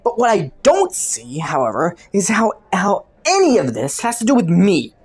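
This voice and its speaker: A woman's voice: medium pitch, wildly swooping pitch, normal speed, a little mellow, slightly rough. A woman voicing a clever, flirty underworld rogue in an audio roleplay, teasing and taunting a detective with sardonic, sultry playfulness. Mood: taunting, amused. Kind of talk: roleplay audio drama, intimate monologue to the listener.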